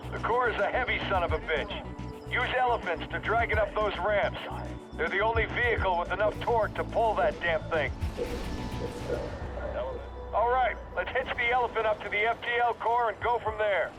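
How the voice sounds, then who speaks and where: An actor playing an urgent, blunt military field soldier in a video game, with clipped delivery. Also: male voice, high voice, normal speed, music in the background, lightly acted.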